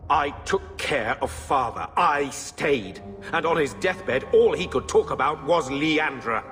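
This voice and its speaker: A man's voice: on the high side, animated, takes it slowly, a bit bright, full character voice. A man playing a shabby, resentful middle-aged uncle in a video game, aggrieved and self-justifying. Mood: bitter, defensive. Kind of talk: dramatic video game acting. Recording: long reverb with background music.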